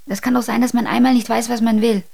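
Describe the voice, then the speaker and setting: A woman's voice: on the high side, steady tone, fast talker, vocal fry, dark tone. Guarded, prickly young woman. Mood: defensive. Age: young adult. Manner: terse, defensive. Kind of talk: naturalistic radio drama acting.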